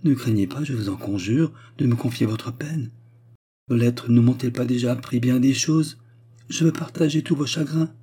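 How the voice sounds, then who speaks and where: A man's voice: medium pitch, animated, normal speed, mellow, breathy edge. A man voicing a kind, idealistic young lady hostess in an audiobook, speaking politely and with effusive, earnest concern. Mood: anxious tenderness.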